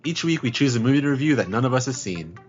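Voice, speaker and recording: A man's voice: mid-range voice, lively intonation, fast talker, husky. A man delivering a scripted podcast promo as one of the co-hosts. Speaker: podcast co-host. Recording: lo-fi promo with faint music.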